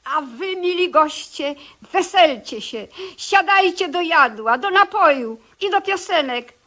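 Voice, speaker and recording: Piskliwa gospodyni wesela. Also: female voice, very high-pitched, expressive, measured pace, sharp tone, full character voice. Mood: festive, proclaiming. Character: festive, hospitable female wedding-feast hostess. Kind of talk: old audio fairy-tale acting. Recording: old radio play with crowd behind.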